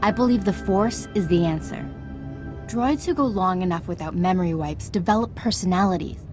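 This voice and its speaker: A woman playing a calm, thoughtful Jedi knight in a video game, speaking in a measured, earnest way. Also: fairly low pitch, natural voice. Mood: earnest, focused. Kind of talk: scripted video game acting. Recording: music behind the voice.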